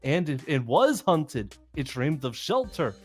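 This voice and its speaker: A man voicing an ageless, knowing cosmic spirit in a scripted read-along, speaking deliberately and declaiming over music. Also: fairly high pitch, sing-song. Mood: mysterious. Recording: music behind the voice.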